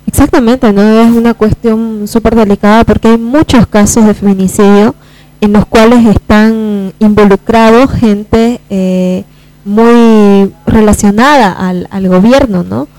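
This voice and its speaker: A woman's voice: medium pitch, steady tone, unhurried, dark tone, dry recording, low-quality recording. A woman panelist in a live radio discussion, speaking hesitantly with frequent tag questions. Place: radio studio.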